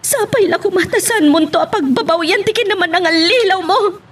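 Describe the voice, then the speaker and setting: A woman's voice: very high-pitched, fairly quick, a bit bright, far from the mic. A woman playing an anguished young woman wronged in love, plaintive and emotional in a melodramatic audio drama. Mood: desperate.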